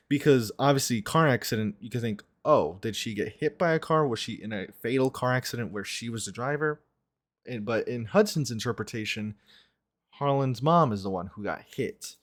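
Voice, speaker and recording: A man giving casual reaction commentary as a video host, thinking aloud as he goes. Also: fairly low pitch. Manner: musing, thinking aloud, frequent "like" and "right".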